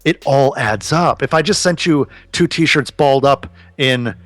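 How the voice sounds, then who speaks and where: A man's voice: medium pitch, unhurried, a bit bright, no echo. A man in his thirties, a podcast guest, talking earnestly and casually about business, swearing now and then. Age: 30s.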